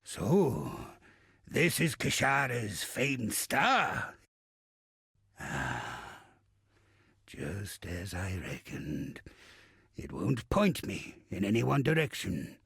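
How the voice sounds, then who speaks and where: Casting: bawdy, roguish old pirate captain in a game; heavy rasp with gravel, stage pirate accent, salty swagger, close dry sound. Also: male voice, lowish voice, huge pitch swings, drawn-out words, pauses often, fairly bright tone, dry recording, heavily acted. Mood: brooding, wistful. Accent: stage pirate English. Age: older. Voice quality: raspy, gravelly. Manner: salty, theatrical, swaggering delivery with archaic slang. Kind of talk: video game character acting.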